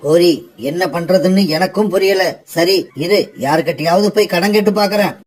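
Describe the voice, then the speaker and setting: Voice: medium pitch, normal speed, close to an everyday voice. An actor voicing an adult bird parent in a children's cartoon, earnest and stern. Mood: worried.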